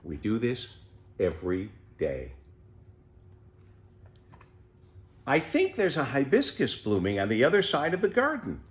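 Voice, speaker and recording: A man reading a children's storybook as an unhurried, contented eighty-year-old pet tortoise who savours everything with wonder. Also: mid-range voice, wildly swooping pitch. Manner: savouring, leisurely, full of wonder.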